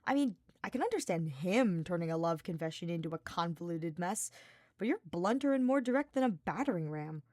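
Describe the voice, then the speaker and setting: Woman voicing a smirking, lively young male knight. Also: on the low side, a little fast, no echo, clean studio sound, close to an everyday voice. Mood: playful teasing. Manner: smirking, casual, slangy. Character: cocky, teasing young male knight, playfully nosy. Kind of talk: audiobook character voice.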